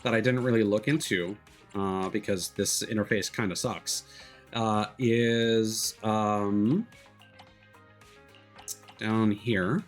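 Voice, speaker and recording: Male game streamer, lowish voice, casual and analytical with halting delivery, music playing underneath. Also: fairly even intonation. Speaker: streamer, host of a gaming live stream. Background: background music.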